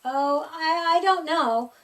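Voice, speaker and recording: A big scruffy storybook boy monster, acted out by a woman in a high voice, a little far from the mic. He slurps, stammers and drags his words, trying hard to sound scary but coming out whiny and bumbling. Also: slow pace, a bit bright, heavily acted. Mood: bumbling menace.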